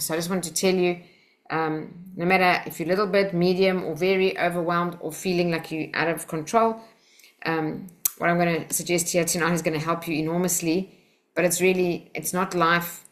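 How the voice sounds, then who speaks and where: A South African woman leads an online class over a video call. Her voice sits a little low, and she talks in a direct, encouraging, chatty way, guiding listeners step by step. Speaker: Silva Method instructor.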